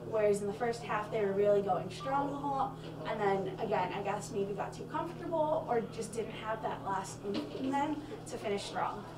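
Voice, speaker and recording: A woman's voice: medium pitch, moderate pace. A young woman co-hosting a student basketball recap, chatty and reeling off stats. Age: young adult. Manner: chatty, reels off stats with fillers. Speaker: student sports analyst co-host. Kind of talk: postgame basketball analysis. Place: small studio with stadium backdrop. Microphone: handheld mic. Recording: echoey room, mic far, crowd noise behind.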